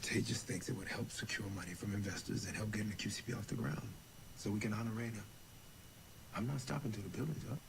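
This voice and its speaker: A man's voice: lowish voice, fast, vocal fry. An actor playing a determined grown man pushing a plan in a TV drama clip, speaking insistently. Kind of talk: TV drama acting. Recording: drama clip with background noise.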